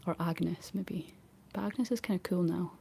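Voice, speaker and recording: A Scottish woman whispering a rambling, chatty ASMR talk in a breathy voice, laughing often as she goes. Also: lowish voice, normal speed, dark tone, slight background noise. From Scotland. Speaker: ASMR creator.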